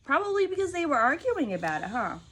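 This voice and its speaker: A woman reading a picture book aloud to young children, expressive and often pausing to ask them questions. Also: high-pitched, a little hiss or hum. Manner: expressive, prompting listeners with questions.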